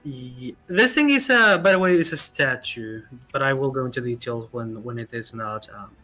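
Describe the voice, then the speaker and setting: A man running a tabletop role-playing game as game master, making quick rules calls and describing the scene. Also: medium pitch, expressive, sharp tone, faint music behind.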